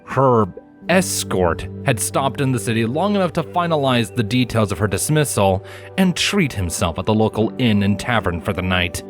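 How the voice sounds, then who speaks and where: A man's voice: fairly low pitch, expressive, normal speed. A man reading scripted story narration as the narrator of an audiobook. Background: soft music.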